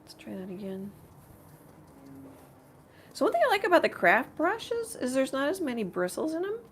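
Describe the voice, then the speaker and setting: A woman with a slightly rough voice hosting a casual live painting tutorial, thinking aloud in a rambling way as she works. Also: high voice, huge pitch swings, moderate pace, slight background noise. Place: home art studio.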